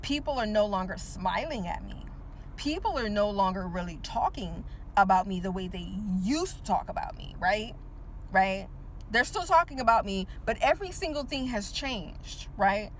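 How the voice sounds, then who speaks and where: A woman's voice: mid-range voice, lively intonation, moderate pace, a little hiss or hum. Woman in her forties, chatty motivational talk. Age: 40s. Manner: talks to the viewer with repeated "right?" checks and emphatic repetition. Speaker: teacher and motivational speaker. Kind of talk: casual motivational monologue.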